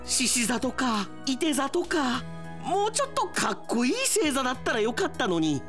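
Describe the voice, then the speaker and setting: A man acting a brash, aggrieved high school boy in a melodramatic, exclamatory radio drama style. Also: big pitch swings, moderate pace, a bit bright, faint music behind, full character voice. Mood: indignant.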